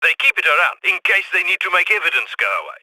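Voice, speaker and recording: An actor playing a brisk heist mastermind in a video game, giving crisp orders with dry asides, on a close studio mic. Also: male voice, high-pitched, very animated, sharp tone, no room sound, heavily acted, poor audio. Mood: commanding. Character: brisk tech-savvy male heist handler.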